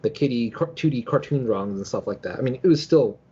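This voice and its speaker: Man, podcast co-host, rapid rambling delivery, husky voice, informal, muffled lo-fi recording. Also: mid-range voice, lively intonation, dark tone. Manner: rambling, informal, hedging with "like" and "you know". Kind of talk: casual podcast discussion. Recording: dull, lo-fi audio.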